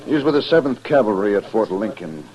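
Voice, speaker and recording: Actor as low-voiced, formal cavalry-era major. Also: male voice, lively intonation, close to an everyday voice. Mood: formal, businesslike. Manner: measured, formal. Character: formal, businesslike male US Army major. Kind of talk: 1950s western radio drama acting. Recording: muffled old radio, saloon crowd behind.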